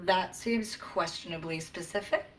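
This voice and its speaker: A woman's voice: fairly low pitch, unhurried, natural voice. A woman playing an indignant, pouty, brainy ship science officer in a comedic sci-fi play, prim and huffy in manner.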